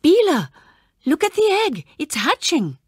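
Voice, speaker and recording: A woman voicing an excitable, earnest young girl in a storybook read-aloud. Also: sits high, measured pace, no echo, studio quality, pitched above the performer's own voice, heavily acted. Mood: alarmed, urgent.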